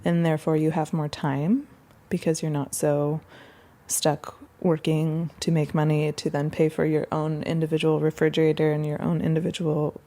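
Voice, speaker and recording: A woman's voice: low-pitched, little pitch movement, normal speed, mellow. A woman speaking casually and reflectively in an interview. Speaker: resident of a collective house, interviewee.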